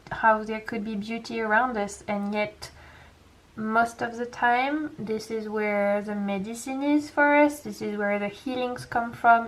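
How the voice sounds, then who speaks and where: Gentle French-accented woman reading tarot. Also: medium pitch, rough recording. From France. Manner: gentle, reassuring, chatty with fillers. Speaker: tarot reader. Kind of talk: pick-a-pile tarot reading to camera.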